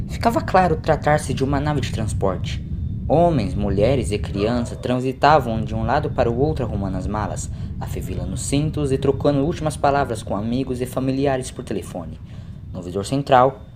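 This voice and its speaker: Male audiobook narrator, Brazilian accent, medium pitch, scripted storytelling, noisy ambient backdrop.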